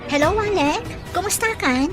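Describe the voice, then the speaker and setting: A woman's voice: very high voice, lively intonation, moderate pace, over loud music. A woman playing an affectionate, cheerful young daughter in an audio drama, with an Ilocano accent and an eager manner. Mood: happy, eager.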